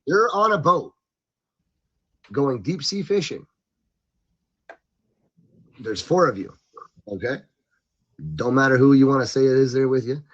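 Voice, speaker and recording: A man hosting a casual live stream show in slangy, animated, informal talk, speaking straight to the audience. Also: medium pitch, a little slow, long pauses. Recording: dull lo-fi streaming audio.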